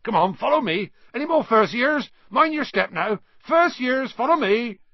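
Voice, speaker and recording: A man voicing a huge, hearty gamekeeper in a storybook, calling out rough, informal commands in a big booming shout. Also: expressive, normal speed, no echo, highs cut off, full character voice. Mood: hearty, bellowing. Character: huge, hearty male gamekeeper bellowing to children.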